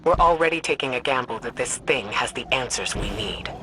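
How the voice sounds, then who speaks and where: A woman playing a stern, composed commander and mentor in a video game cutscene, grave, measured and authoritative. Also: a bass voice, lively intonation, a little hiss or hum, poor audio. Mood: commanding. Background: loud game soundtrack music.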